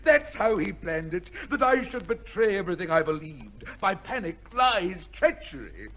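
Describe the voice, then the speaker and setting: An actor playing a broken, condemned gentleman devoted to the law, speaking formally and haltingly in an old-time radio drama. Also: sits high, big pitch swings, slight background noise. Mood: horrified, anguished. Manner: halting, impassioned, formal theatrical delivery.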